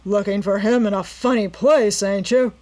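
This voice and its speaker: A woman's voice: mid-range voice, a little mellow, natural voice. A woman reading a storybook and voicing a brutal male robber gang leader who growls and sneers. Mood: menacing. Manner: growling, sneering, slow menacing.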